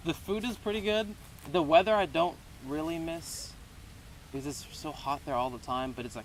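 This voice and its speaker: A man in his twenties from Texas, answering interview questions in a relaxed, rambling way with lots of hedges. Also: mid-range voice. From Houston, Texas. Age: 20s. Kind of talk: casual unscripted interview. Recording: noisy background.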